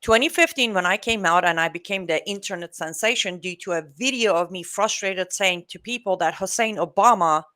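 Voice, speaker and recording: A woman's voice: medium pitch, fairly quick, hardly any pauses. Outspoken Iranian-born woman in her forties. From Iran. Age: 40s. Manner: outspoken, animated storytelling. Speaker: guest. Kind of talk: casual interview talk.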